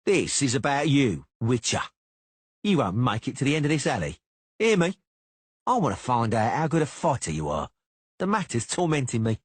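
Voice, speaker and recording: A man voicing a boastful, hot-headed young swordsman who jeers and shouts challenges. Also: medium pitch, wildly swooping pitch, moderate pace, breathy edge, no echo, voice pushed up. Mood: taunting. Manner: loud, jeering, challenging.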